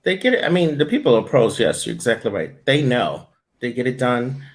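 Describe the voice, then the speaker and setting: A man's voice: mid-range voice, very animated, brisk. A man speaking as an interview guest and coach, answering conversationally and emphatically, repeating his points for stress.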